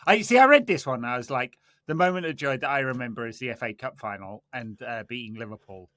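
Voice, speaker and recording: Man with a bright, dry-sounding voice. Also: mid-range voice, lively intonation, fast, no echo. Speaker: podcast host. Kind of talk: casual chat on a football podcast.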